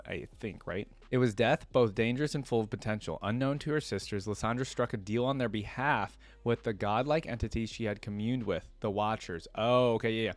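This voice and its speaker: A man's voice: lowish voice, no room sound. A man hosting a gaming lore reaction series, switching between reading aloud and informal commentary as he thinks aloud. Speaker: host of a video game lore reaction series.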